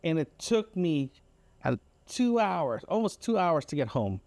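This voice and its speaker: A man's voice: a little high, big pitch swings, a little slow, creaky, a little mellow, dry recording. A man from Canada hosting a casual audio diary, telling everyday stories in a rambling, repetitive, mildly exasperated way with frequent check-ins to the listener. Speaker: podcast host.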